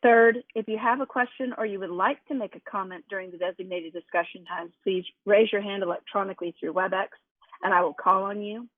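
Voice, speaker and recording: Hoarse woman chairing a conference call. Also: medium pitch, steady tone, brisk, dry recording. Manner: organized, sets ground rules. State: slightly hoarse from allergies. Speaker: committee chair. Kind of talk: formal meeting opening. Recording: tinny conference call line.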